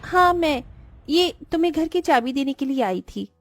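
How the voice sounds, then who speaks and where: A woman's voice: high-pitched, animated, moderate pace, no echo, soft background music. A woman playing a pleasantly proud young married neighbour in a cartoon story. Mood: pleased. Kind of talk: cartoon voice acting.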